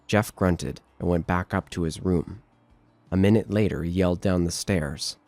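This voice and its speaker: A man's voice: low-pitched, moderate pace, a little mellow, dry recording. A man narrating a scripted horror story.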